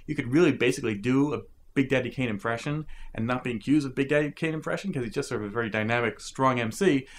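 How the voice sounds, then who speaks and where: A man in casual commentary on old hip hop records, talking loosely and thinking aloud as he goes. His pitch sits in an ordinary middle range for a man. He pauses often with fillers. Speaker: music reviewer.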